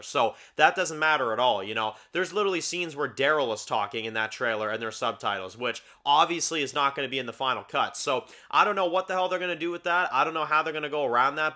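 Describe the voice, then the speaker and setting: A man's voice: mid-range voice, bright, forceful tone. A Canadian man whose first language is French, hosting a casual video review and rambling with lots of fillers like "you know what I mean". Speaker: YouTube TV reviewer. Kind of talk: casual talking-head commentary.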